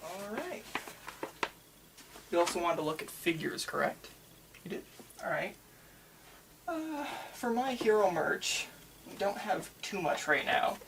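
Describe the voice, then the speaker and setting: A person doing a casual ASMR roleplay as a shop worker, chatting in a rambling, halting, enthusiastic way. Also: mid-range voice, very animated, creaky, fairly bright tone, a little hiss or hum. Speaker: manga store worker in a roleplay. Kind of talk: ASMR roleplay, casual customer-service chat.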